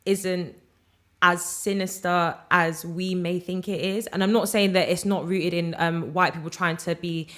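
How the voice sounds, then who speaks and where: A woman's voice: lowish voice, steady tone, measured pace. A young woman co-hosting a casual podcast, talking in a candid, opinionated way and laughing often. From Britain. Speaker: podcast co-host.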